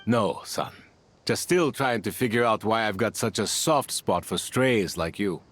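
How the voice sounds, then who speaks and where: A man voicing a fatherly, wry older leader of a desert band in a video game, with a folksy, unhurried manner. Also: fairly low pitch, a bit bright, slight background noise. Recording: music behind the voice.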